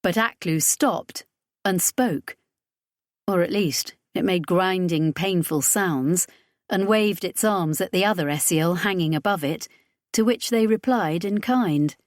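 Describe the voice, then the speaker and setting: A woman's voice: fairly low pitch, unhurried, no room sound, studio quality. A woman reading scripted narration from a science fiction audiobook.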